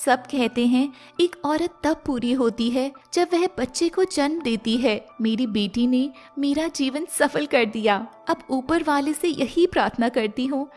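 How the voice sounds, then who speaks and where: A woman's voice: sits high, a little slow, few pauses, a little mellow, soft background music. A woman voicing a tender, anxious young new mother in an emotional, heartfelt way for a dramatised story. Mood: tearful joy. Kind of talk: dramatised Hindi moral story acting.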